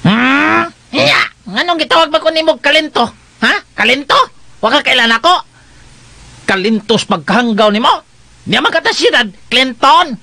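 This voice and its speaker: A man's voice: extremely high pitch, animated, normal speed, vocal fry, bright, forceful tone, no room sound, heavily acted. A man playing a vain, irritable young country fellow who squawks and scolds in a comic radio drama. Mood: indignant.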